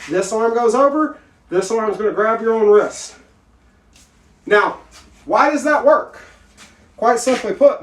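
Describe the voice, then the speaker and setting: A man's voice: sits high, a bit bright. A man giving a blunt, plainspoken self-defense lesson in a casual way, asking his training partner questions as he demonstrates. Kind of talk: casual instructional demo. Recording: echoey room, mic far off.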